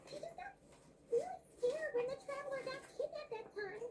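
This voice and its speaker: A woman voicing a small childlike fairy guide in a video game, anxious and earnest, with a squeaky voice. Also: animated, brisk, a little mellow, a little hiss or hum. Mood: worried, insecure. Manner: refers to self in third person. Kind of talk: animated video game voice acting.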